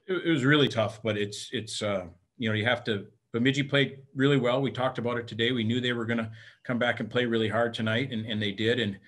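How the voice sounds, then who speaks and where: A middle-aged man, a hockey head coach, answering postgame questions frankly over a video call, with halting restarts and many fillers. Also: mid-range voice, steady tone, a bit bright. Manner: plain-spoken, frank. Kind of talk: postgame press conference answers. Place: indoor room in front of team backdrop. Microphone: webcam on video call.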